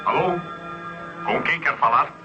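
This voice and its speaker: An actor playing a courteous, guarded Brazilian police officer in an old radio drama, speaking with formal politeness. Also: male voice, medium pitch, animated, a little slow, natural voice. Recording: dull old radio recording with music.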